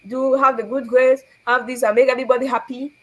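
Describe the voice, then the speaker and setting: Earnest Cameroonian woman, bright voice. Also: high voice, flat delivery, fast, rough recording. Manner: earnest, preachy, asks rhetorical questions, laughs often. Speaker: show host. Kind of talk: motivational faith talk.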